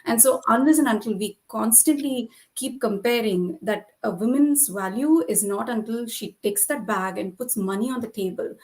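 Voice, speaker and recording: A woman's voice: fairly high pitch, moderate pace, few pauses, darkish tone, rough recording. A woman from India with an Indian accent, speaking earnestly and emphatically as a panel guest. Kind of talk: panel discussion.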